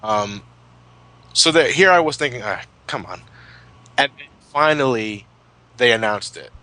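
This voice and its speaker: A man in his twenties with a bright, punchy voice at a middling pitch. He reminisces casually like a podcast commentator, stopping and restarting phrases and swearing freely as he goes. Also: lively intonation. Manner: halting, repeats words, sweary. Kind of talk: casual retrospective chat, reminiscing.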